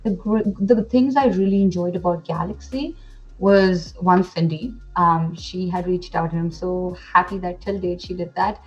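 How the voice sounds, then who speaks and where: A woman's voice: fairly low pitch, normal speed, soft background music. Woman in her twenties on a muffled video call. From India. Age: 20s. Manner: chatty, enthusiastic, with fillers and restarts. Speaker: pageant titleholder guest. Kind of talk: casual interview.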